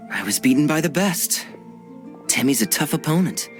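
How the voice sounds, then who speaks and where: An actor playing a suave, courteous cavalry captain in a video game cutscene. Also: male voice, fairly high pitch, lively intonation, a little slow, faint music behind. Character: suave charming knight captain man, smooth and teasing.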